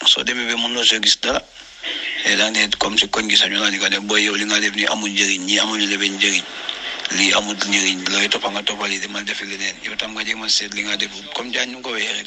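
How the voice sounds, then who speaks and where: A man giving his opinion in a casual, conversational way to a group. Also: lowish voice. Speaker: discussion participant. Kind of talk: casual spoken opinion on a topic. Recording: clearly noisy background.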